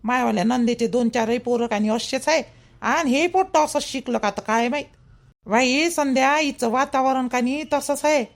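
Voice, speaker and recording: A woman's voice: sits high, moderate pace, creaky. A woman voicing a middle-aged, protective, gossipy mother-in-law in a village cartoon, emphatic and repetitive, with a Vidarbha Marathi accent. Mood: indignant, reassuring. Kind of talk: rural family cartoon dialogue.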